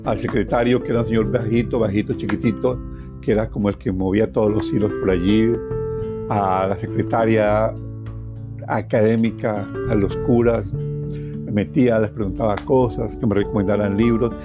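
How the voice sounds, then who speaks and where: A man's voice: lowish voice, fairly even intonation, moderate pace. A man in his sixties with a Colombian accent tells a personal story conversationally, repeating phrases for emphasis and tagging them with questions. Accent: Colombian Spanish. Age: 60s. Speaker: storytelling guest journalist. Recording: music behind the voice. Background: plucked guitar music.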